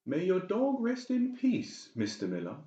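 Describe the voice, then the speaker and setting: A man's voice: sits high, huge pitch swings, a little slow, mellow, reverberant, muffled recording, pitched above the performer's own voice, exaggerated voice. A man voicing a cold, manipulative doctor in a horror audiobook, mock-polite and menacing. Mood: falsely soothing. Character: cold, conniving, balding doctor, falsely soothing villain. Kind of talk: dramatised horror audiobook dialogue.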